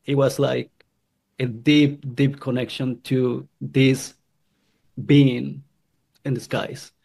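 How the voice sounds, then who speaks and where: A man from Guatemala telling his own story in an earnest, halting way, often restarting his sentences. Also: medium pitch, level tone, gravelly, a little mellow, slightly rough. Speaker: convert sharing his story. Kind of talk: personal testimony.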